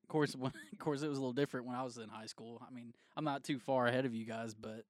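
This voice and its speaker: A man's voice: medium pitch, steady tone, fast, no echo, studio quality. A man in his late twenties co-hosting a casual podcast over drinks, joking crudely and laughing through his lines. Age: 20s. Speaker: podcast co-host.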